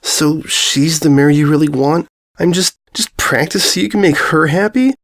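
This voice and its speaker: A man's voice: fairly high pitch, normal speed, voice pushed up, lightly acted. A man voicing a jealous, easily flustered young female pony who stammers and turns sarcastic in a story. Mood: hurt, stammering.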